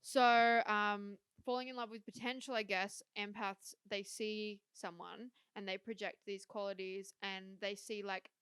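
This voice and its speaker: A young woman talks through a casual podcast chat, full of fillers and offhand swearing. Her voice is smooth and clear, recorded close and dry with no room sound. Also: mid-range voice, fairly even intonation, a little slow, few pauses, a bit bright, no echo. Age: young adult. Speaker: podcast co-host.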